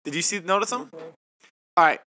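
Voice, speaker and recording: A man's voice: on the high side, lively intonation, moderate pace, pushed and bright. Young man talking with a lisp. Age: 20s. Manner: talks with a lisp from new aligner trays; chatty, self-mocking. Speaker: podcast co-host. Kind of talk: casual chat.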